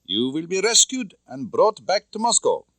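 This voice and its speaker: Man voicing a stern, high-pitched Soviet woman colonel. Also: very animated, normal speed, fairly bright tone, dry recording, full character voice. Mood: stern, commanding. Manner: commanding, clipped, formal. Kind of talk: audiobook character acting.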